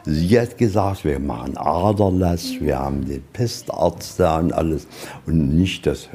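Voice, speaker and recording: A man's voice: rumbling low, unhurried, dark tone. A man explaining exhibits informally as a museum guide, conversational and a little rambling.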